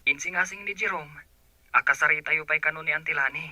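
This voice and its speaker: Man acting an earnest young son, bright voice. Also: fairly high pitch, fairly quick, dry recording. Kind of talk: Ilocano radio drama acting.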